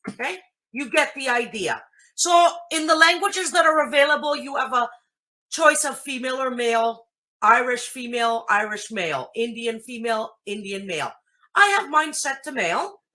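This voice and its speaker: A woman's voice: a little high, lively intonation, moderate pace, sharp tone. A woman whose first language is Greek, hosting a casual computer tutorial and chatting through each step as she thinks aloud. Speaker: host.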